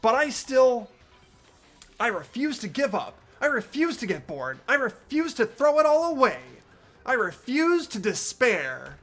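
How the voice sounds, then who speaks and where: A woman voicing an earnest, determined teenage boy hero in a video game, halting at times and fervent. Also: fairly high pitch, sing-song, a bit bright, faint music behind, voice pushed up, exaggerated voice. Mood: defiant, determined. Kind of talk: fan voice acting of a video game script.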